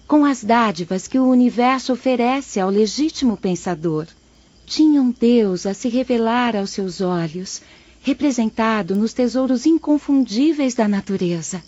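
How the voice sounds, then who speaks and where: A woman playing a gentle, humble teenage spirit poetess who gives an earnest, formal moral address in an audio drama. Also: a little high, no echo. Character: gentle, humble fifteen-year-old girl spirit, teaching poetess. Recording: dull, dry close audio.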